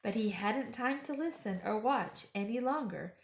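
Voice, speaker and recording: A woman reading a children's story aloud expressively, with deliberate phrasing and dramatic emphasis. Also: on the high side, lively intonation, echoey room, slight background noise. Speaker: storytime reader.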